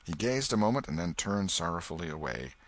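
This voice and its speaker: A man reading a story aloud in an expressive, varied narrating style. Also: low-pitched, brisk, no echo.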